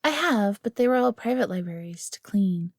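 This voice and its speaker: A woman as a breathy, timid young fox girl. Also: medium pitch, animated, moderate pace, no room sound, clean studio sound. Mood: curious, helpful. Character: young fox girl, timid, eager, grateful former servant. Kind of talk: scripted audio roleplay addressed to the listener.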